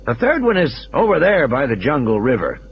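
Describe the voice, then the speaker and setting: A man plays an assured control room engineer in an audio play, briskly explaining. The voice is pitched up and animated, on a slightly dull recording with noise behind it. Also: gravelly. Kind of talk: audio play character dialogue.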